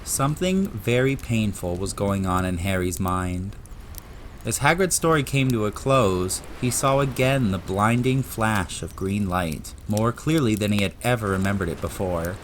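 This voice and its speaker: A man's voice: on the low side, unhurried, slight background noise. A man reading scripted narration for a dramatised audiobook.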